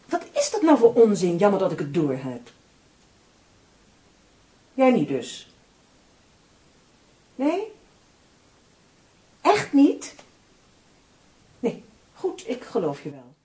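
Sceptical female crime novelist in radio drama; husky, animated, bossy, no patience for nonsense. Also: mid-range voice, full character voice. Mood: suspicious, agitated. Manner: dismissive, bossy, brisk. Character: sharp, sceptical woman co-writing crime novels with her partner.

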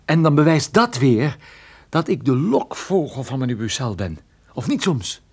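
A man playing a wry, stubborn private detective in a Dutch radio play, explaining things in a conversational, halting way. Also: mid-range voice, sing-song, breathy edge. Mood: urgent, resolute. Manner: conversational, hesitant interjections, explanatory. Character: wry, stubborn male private detective, injured but determined.

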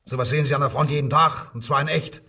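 Casting: wartime police interrogator, male, husky, sardonic and insistent, radio crime drama, a little off the mic. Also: a little high, fairly even intonation, fast talker, pushed and bright, recorded from a distance, heavily acted. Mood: sardonic, goading. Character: male wartime German police detective, needling, coldly insistent. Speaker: actor.

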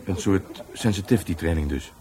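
An actor playing an easygoing, patient police sergeant in a radio drama, putting questions in an informal way. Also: male voice, low-pitched, a little fast, mellow, a little hiss or hum, close to an everyday voice. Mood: probing, calm. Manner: informal, probing.

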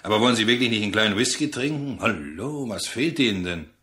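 An actor playing a callous colonial trader in a radio play, jovial and offhand in manner. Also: male voice, on the low side, animated, quick pace, sharp tone. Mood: breezy, offhand. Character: breezy, callous male colonial trader offering whisky. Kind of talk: German radio play acting.

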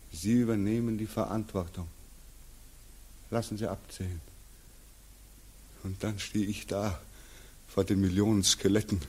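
An actor playing a tormented returning soldier in a 1940s radio drama, theatrical and obsessive as he recounts a nightmare. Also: deep voice. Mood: haunted, agitated. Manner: theatrical, obsessive, swinging from hushed murmurs to frenzied cries. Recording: muffled, husky 1940s radio recording.

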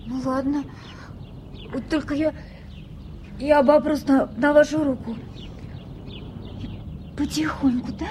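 An actress playing a stubborn, naive young woman in pain in a 1980s Soviet radio drama, speaking in halting, hesitant bursts. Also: sits high, fairly even intonation, darkish tone. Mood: wary, halting. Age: young adult. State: pained. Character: stubborn young provincial female exam applicant in pain. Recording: faintly noisy archive radio play recording.

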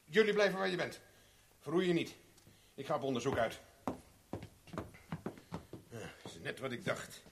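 A cool-headed private investigator in a vintage radio play. His voice sits rather high for a man and he gives terse, commanding lines. The old recording carries faint noise. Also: expressive, normal speed, creaky, long pauses. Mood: controlled, sardonic. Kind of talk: 1970s Dutch radio drama acting.

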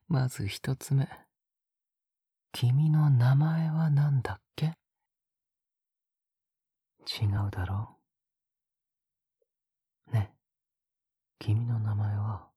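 A male actor voices an obsessive young male captor in an intimate audio drama. His voice is very breathy and husky, often sinking to a whisper. He speaks slowly, coaxing with a sweet, condescending menace, close to the mic in a dry space. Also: medium pitch, fairly even intonation, dry recording, exaggerated voice. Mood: coaxing, possessive. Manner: slow, coaxing, condescendingly gentle.

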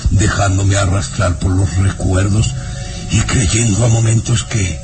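A man's voice: low-pitched, steady tone. An actor playing a sinister, falsely tender baron and father in a vintage radio serial, suspicious and insinuating. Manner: slow, suspicious, insinuating, with sly chuckles. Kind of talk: vintage Spanish-language radio serial acting. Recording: old radio drama with music underneath.

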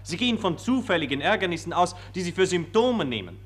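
An actor playing an earnest, pompous patriotic optimist, declaiming formally in a 1940s radio play. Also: male voice, sits high, sing-song, quick pace, a bit bright, husky, exaggerated voice. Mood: lofty conviction.

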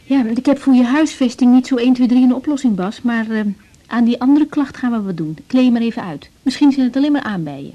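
An actress playing a brisk, composed young doctor in a naturalistic radio drama. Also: female voice, a little high, warm tone, lo-fi sound, close to an everyday voice. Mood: calm, professional. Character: young female doctor, brisk, composed, weary of family trouble. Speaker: general practitioner in a radio serial.

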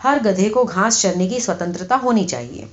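A woman's voice: mid-range voice, lightly acted. A woman reads as an earnest talking donkey from a satirical storybook. He speaks formally and philosophically, like a speechmaker, with mock pomp. The sound is slightly lo-fi. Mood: earnest, preaching. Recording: slightly dull, lo-fi audio.

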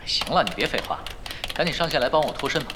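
Young male gamer tactician played by a male actor, unruffled and cocky, fast and lively delivery over music. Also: mid-range voice, noisy background, natural voice. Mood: calm instructing. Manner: unruffled, teasing, self-assured. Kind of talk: Chinese audio drama acting.

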